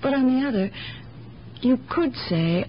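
An actress playing a kindly, sharp-witted elderly aunt in a radio drama, speaking in a thoughtful, deliberate, gently lecturing way. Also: female voice, high voice, lively intonation. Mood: reflective, earnest. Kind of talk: 1970s radio drama acting. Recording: muffled, noisy 1970s radio broadcast recording.

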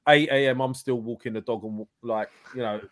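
A man's voice: mid-range voice, fairly quick. A man chatting casually as a podcast guest, jokey and teasing in a blokey way. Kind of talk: casual chat.

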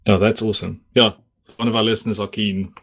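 Male podcast host from South Africa, native Afrikaans speaker, lowish voice, rambles chattily. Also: flat delivery, quick pace, fairly bright tone. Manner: chatty, rambling, many likes. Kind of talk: casual podcast interview.

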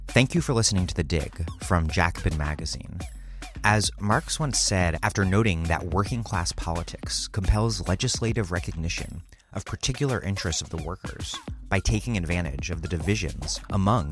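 Low-voiced man hosting a podcast. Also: moderate pace, music in the background. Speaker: podcast host and interviewer. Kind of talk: podcast interview and scripted hosting.

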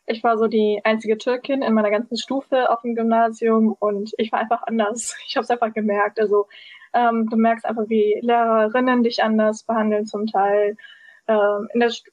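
A woman's voice: fairly high pitch, a little mellow, no echo. A woman in her twenties talking casually on a podcast, thoughtful, with many fillers. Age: 20s. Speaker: podcast guest. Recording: dull, dry lo-fi sound.

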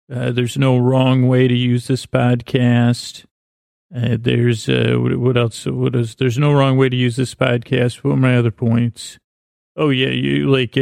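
A man hosting a sleep podcast, rambling softly in a halting, self-correcting way with many pauses. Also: medium pitch, no echo, studio quality. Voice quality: creaky, soft, halting with many restarts. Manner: meandering, self-correcting, drowsy pace. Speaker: podcast host.

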